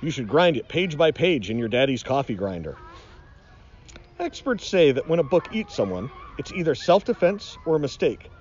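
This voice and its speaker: Animated man reading a children's storybook theatrically. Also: a little high, moderate pace, dull audio. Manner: theatrical, mock-ominous. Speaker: nature educator reading aloud.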